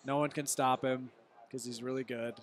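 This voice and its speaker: A man's voice: medium pitch, flat delivery, normal speed. A man hosting a casual sports show, mixing play-by-play calls with teasing, argumentative banter. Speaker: host.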